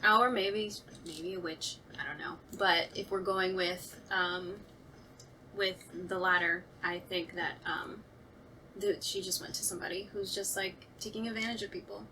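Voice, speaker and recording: A woman's voice: mid-range voice, steady tone, a little slow, pushed and bright, recorded from a distance, a little hiss or hum, poor audio. A woman in a casual panel discussion, thinking aloud in an informal, tentative way.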